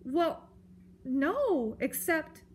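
Woman putting on a timid, very high-pitched little mouse. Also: sing-song, very slow, a little mellow, exaggerated voice. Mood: hesitant, puzzled. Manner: stammering, earnest, apologetic. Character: timid, frightened young female mouse, pleading.

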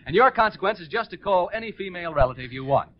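Excitable high-voiced American radio host. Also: male voice. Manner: excitable, hurried patter. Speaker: game show host. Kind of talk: 1940s live radio game show hosting. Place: on stage before a studio audience. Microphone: stage microphone. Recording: dull 1940s radio recording.

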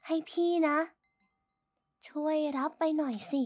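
A shy anime schoolgirl, speaks in a high voice at a slow, halting pace. She sounds earnest and easily flustered, recorded close and dry. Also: fairly even intonation, a little mellow, no room sound. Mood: shy, nervous. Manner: hesitant, halting. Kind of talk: anime-style voice pack acting.

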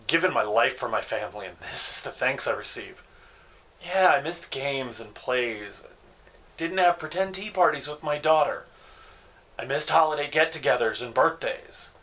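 A man's voice: mid-range voice, animated, moderate pace, pushed and bright. A man, an actor playing a middle-aged grieving, defensive father in an indignant, emphatic dramatic monologue. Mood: bitter, wounded. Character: grieving, defensive father and provider, bitterly self-blaming.

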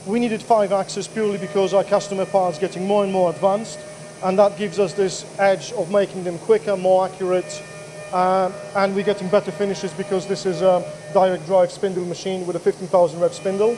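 A man's voice: high voice, little pitch movement, fairly quick, background noise. A man in his thirties, a workshop owner, answering interview questions plainly with many fillers. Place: machine workshop indoors. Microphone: handheld mic.

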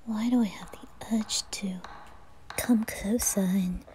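A woman's voice: mid-range voice, steady tone, takes it slowly, mellow, slight background noise, natural voice, low-quality recording. A woman voicing a haughty, bratty teenage aristocrat boy in an anime ASMR roleplay, imperious and mocking. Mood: smitten, conflicted.

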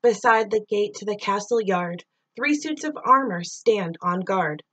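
A middle-aged woman doing an expressive read-aloud of a rhyming children's story, drawing out words and sound effects like a teacher reading to pupils. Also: medium pitch, measured pace.

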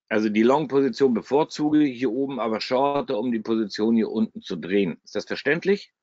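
A man's voice: medium pitch, no echo. A man with a German regional dialect hosting a live trading webinar, talking bluntly and chattily with salty humor while he walks through his screen. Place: at a computer, screen shared. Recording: dry, slightly dull webinar audio.

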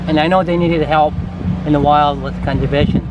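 Older korean guy, accent, lots of ums and restarts, kinda high voice, loud noisy outdoor background. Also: steady tone, lo-fi sound. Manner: halting, many fillers and restarts. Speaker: reptile breeder and farm owner. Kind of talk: casual interview on camera. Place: outdoors beside reptile enclosures. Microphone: camera mic, close framing.

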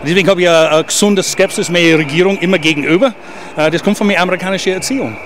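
Halting man at a street protest. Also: high voice, a little hiss or hum. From Germany. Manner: halting, stumbling, restarts words. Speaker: interviewed demonstrator. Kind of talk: excited street interview. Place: outdoors at a city street protest. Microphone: handheld interviewer mic.

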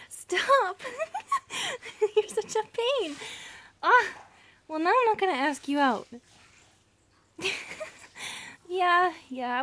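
A woman playing an affectionate, playful, shy centipede monster girlfriend in an ASMR roleplay, soft, breathy and intimate. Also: high voice, heavily acted. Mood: giggly, playful. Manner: intimate, playful, lightly teasing.

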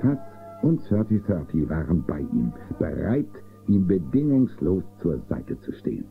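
A man's voice: low-pitched, sing-song, measured pace. A man narrating a German children's audio drama from a script. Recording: narrow phone-like band, music behind.

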